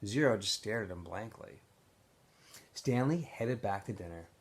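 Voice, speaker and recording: A man reading a novel aloud to students in an expressive, storybook style with emphatic phrasing. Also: on the low side, a little slow, husky.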